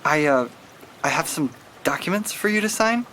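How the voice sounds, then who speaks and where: An actor playing a nervous young police rookie who speaks hesitantly and apologetically in an audio drama. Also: male voice, a little high, lively intonation, normal speed, bright, forceful tone, slight background noise.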